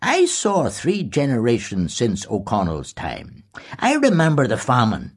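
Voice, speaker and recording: A man's voice: mid-range voice, huge pitch swings, unhurried, husky, pitched above the performer's own voice, heavily acted. A man voicing a stern old male schoolmaster who lectures firmly and pompously. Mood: lecturing. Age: older.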